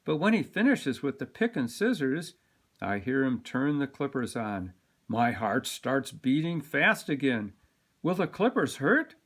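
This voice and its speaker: Animated man reading a children's storybook. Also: medium pitch, unhurried. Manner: animated, dramatic delivery with sound-word emphasis. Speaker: storybook reader. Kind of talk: expressive read-aloud of a children's picture book.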